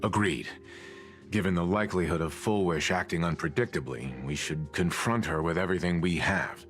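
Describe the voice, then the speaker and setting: A calm, analytical companion in a game drama. His voice is very deep and he speaks thoughtfully, with music behind him. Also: normal speed. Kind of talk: video game voice acting.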